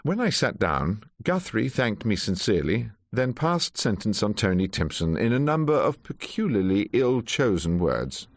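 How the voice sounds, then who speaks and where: An actor playing a wry, sharp-witted ageing English defence barrister in a radio drama, droll and courtly. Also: male voice, fairly low pitch, sing-song, normal speed, hardly any pauses. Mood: wry narration. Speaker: lead character and narrator. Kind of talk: radio drama acting and narration.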